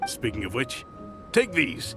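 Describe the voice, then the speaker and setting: A man's voice: medium pitch, huge pitch swings, a little slow, fairly bright tone, soft background music. A man voicing a polite, earnest knight field officer in a video game, speaking formally. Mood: courteous. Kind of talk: animated video game voice acting.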